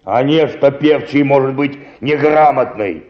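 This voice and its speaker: An actor playing an insolent, stubborn middle-aged peasant choir singer in a radio drama, gruff and mocking. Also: male voice, mid-range voice, unhurried, lo-fi sound, natural voice. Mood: surly, mocking. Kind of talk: Russian radio drama acting.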